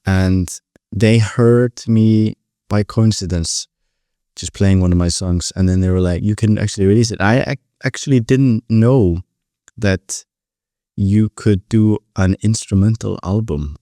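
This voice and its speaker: A young Icelandic man with a very low, mellow voice, recorded close and dry. He talks casually as a podcast guest, enthusiastic and a little rambling, often rewording himself mid-thought. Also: steady tone, moderate pace, dry recording. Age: 20s. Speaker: podcast guest, composer and pianist. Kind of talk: casual interview conversation.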